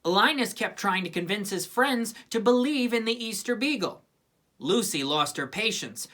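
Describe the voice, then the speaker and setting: A woman narrating a children's storybook in an expressive scripted reading style. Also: mid-range voice, normal speed. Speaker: storybook reader.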